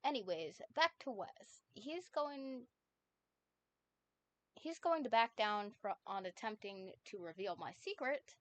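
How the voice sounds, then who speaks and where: A woman's voice: medium pitch, expressive, slow. Woman reading as a casual, evasive teenage boy. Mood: guarded.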